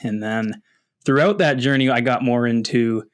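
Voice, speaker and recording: A Canadian man with a middling-pitched voice talks in a casual, animated way. He tells stories like a relaxed guest, with a self-deprecating streak and plenty of "like" sprinkled through. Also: normal speed. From London, Ontario, Canada. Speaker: podcast guest, wildlife photographer and content creator. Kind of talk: casual personal storytelling.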